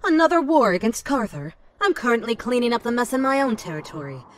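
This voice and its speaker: A woman's voice: on the high side, very animated, quick pace, a little hiss or hum. An actress playing a bold, sardonic noblewoman warrior in a medieval fantasy game, with a haughty, taunting manner. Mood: exasperated.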